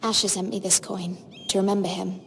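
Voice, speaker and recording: An actress playing a young noblewoman serving as a handmaiden in a fantasy game, soft-spoken, polite and reserved. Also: mid-range voice, level tone, brisk, gravelly, a little hiss or hum. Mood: wistful. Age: young adult. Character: young noblewoman handmaiden, gentle, homesick, guarded. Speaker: voice actor. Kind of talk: dramatic video game acting. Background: music.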